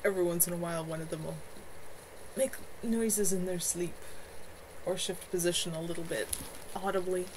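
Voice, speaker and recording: A woman reading her own novel aloud as an author on a home livestream, in an expressive amateur narration style. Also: on the low side, moderate pace, long pauses, noisy background. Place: at home, in a room with a bed.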